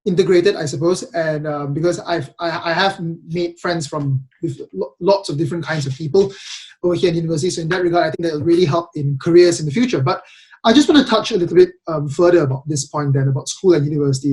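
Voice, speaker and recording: A man's voice: on the high side, a little mellow. A young man from Malaysia hosting a casual podcast chat, speaking hesitantly with many restarts and ums. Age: young adult. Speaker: podcast host.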